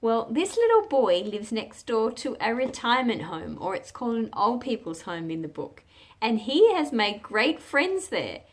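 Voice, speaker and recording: Expressive Australian woman reading to children. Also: a little high. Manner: explanatory, asks listeners simple questions, measured phrasing. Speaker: children's read-aloud host. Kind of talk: read-aloud storytelling for children.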